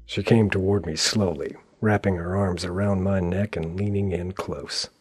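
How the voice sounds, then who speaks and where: An actor playing a wry, world-weary private detective who narrates a noir radio play in a sardonic, deadpan way. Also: male voice, low voice, normal speed, soft background music, lightly acted. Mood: wry, brooding. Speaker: narrator and lead character.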